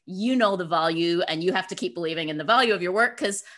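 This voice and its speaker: A woman's voice: on the low side, quick pace, fairly bright tone. A woman from Scotland speaking as a guest author in an interview, telling personal stories in an upbeat, effusive, emphatic way. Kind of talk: interview answers, personal storytelling.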